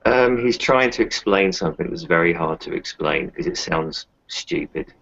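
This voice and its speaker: Man, very low and gravelly, casual tabletop roleplayer speaking in character, dull recording. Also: animated, moderate pace, fairly bright tone. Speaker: tabletop roleplaying game player.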